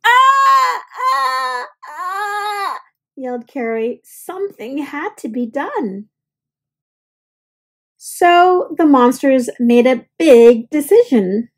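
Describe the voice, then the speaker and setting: A woman narrates a children's storybook as a read-aloud. Her voice is high and lively in pitch. She takes it very slowly, pausing for dramatic effect. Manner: deliberate, dramatic pauses for young listeners. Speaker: storyteller reading a picture book aloud.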